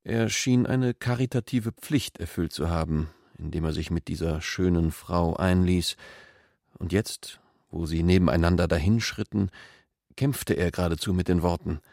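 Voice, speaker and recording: Low, gravelly male literary narrator. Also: a little mellow, no room sound, studio quality. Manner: measured, deliberate.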